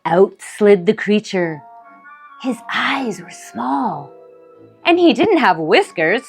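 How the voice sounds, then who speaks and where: A woman narrating a storybook for young children, expressive and deliberate. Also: mid-range voice, husky, soft background music. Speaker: children's read-aloud host.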